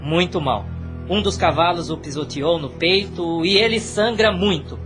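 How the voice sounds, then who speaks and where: A man's voice: sits high, a little slow, a bit bright, soft background music. A man playing a grave church messenger with bad news in a formal audio drama. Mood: grave, urgent.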